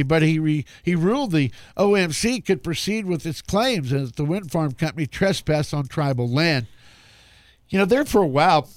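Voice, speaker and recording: A man's voice: fairly high pitch, no room sound. A man hosting a radio talk show, reading news in a folksy, chatty way and stumbling now and then over words.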